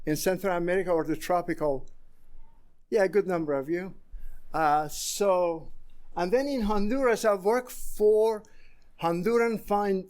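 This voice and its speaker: A man in his sixties from Iran with an Iranian accent, giving a conference presentation in a halting way with many pauses. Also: high-pitched, a little mellow. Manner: halting, many fillers and restarts. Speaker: conference speaker.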